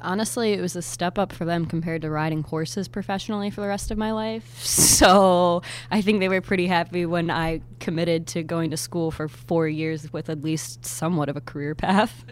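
A woman's voice: on the low side, steady tone, normal speed, few pauses, a little hiss or hum. A woman in her twenties from Baltimore, a newspaper intern chatting on a podcast in a light, self-deprecating way. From Baltimore, Maryland. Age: 20s. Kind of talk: casual podcast conversation.

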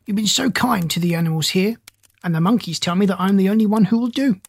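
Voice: mid-range voice, quick pace, creaky, husky, dry recording. A narrator voicing a shy, courteous two-headed gazelle-like creature who dislikes being stared at. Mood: polite. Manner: timid, courteous.

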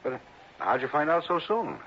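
An actor playing a genial, evasive friend of an investigator in a 1960s American radio drama, folksy and roundabout. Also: male voice, fairly high pitch, very animated, normal speed, fairly bright tone. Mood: hesitant, grave. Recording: dull, noisy old radio recording.

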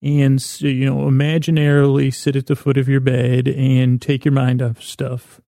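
A man hosting a podcast with a rambling, whimsical bedtime monologue, in a creaky voice, meandering and halting as he repeats and doubles his words. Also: mid-range voice, monotone, warm tone, no echo, clean studio sound. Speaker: podcast host.

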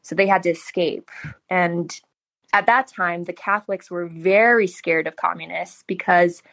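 Woman guest in her thirties, from California, relaxed lower voice, telling her story brightly. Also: measured pace, gravelly. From California, USA. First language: Mandarin Chinese. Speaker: podcast guest, startup founder. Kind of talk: conversational interview storytelling.

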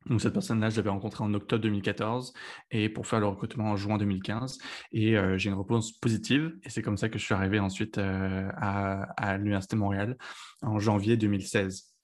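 A man's voice: lowish voice, flat delivery, fast. A young man from France, speaking with a French accent from Lyon, answers interview questions as a guest in a hesitant way, with many fillers and restarts. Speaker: guest, PhD student.

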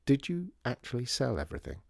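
Man as a steady reassuring father. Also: mid-range voice, quick pace, gravelly, no room sound, studio quality, pitched above the performer's own voice, heavily acted. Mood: calm, reassuring.

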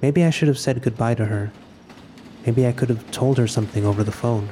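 A man acting a resigned, solitary narrator in scripted audio fiction, speaking in a measured, introspective way about his own final day. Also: medium pitch, dark tone, a little hiss or hum, lightly acted.

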